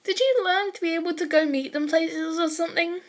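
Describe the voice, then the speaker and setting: A woman voicing an excitable, demanding teenage classmate in a fan fiction audiobook, with shrill, insistent outbursts. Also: brisk. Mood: curious, perky.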